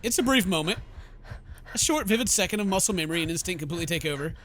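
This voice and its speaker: A man's voice: sits high, lively intonation, racing, a bit bright. A man doing let's play commentary, reading game text aloud and tossing in joking, theatrical asides. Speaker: gaming YouTuber.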